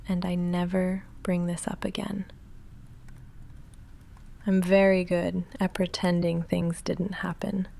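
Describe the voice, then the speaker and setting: Hushed, creaky goth vampire woman, acted out by a woman. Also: lowish voice. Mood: tender, yearning. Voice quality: breathy, often whispering, creaky. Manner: halting, hushed, confessional with dry deadpan asides. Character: female goth vampire roommate, lovestruck, restrained, nervously intense. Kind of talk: intimate ASMR roleplay addressed to the listener.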